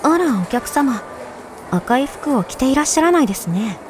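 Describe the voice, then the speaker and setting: A woman acting an overly polite, persuasive female shop clerk in a voice drama, speaking in smooth honorific sales talk. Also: high-pitched, very animated, moderate pace, background noise. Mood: polite, welcoming.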